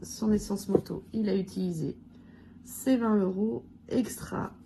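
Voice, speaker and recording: A hoarse woman with a cold chats casually about her budget, counting amounts out loud and filling pauses as she goes. Her voice is gravelly and dark. A faint room ambience hums behind her. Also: mid-range voice, slight background noise, low-quality recording. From France. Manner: counts money aloud, many fillers. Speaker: budget vlogger. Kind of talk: casual budget review talk.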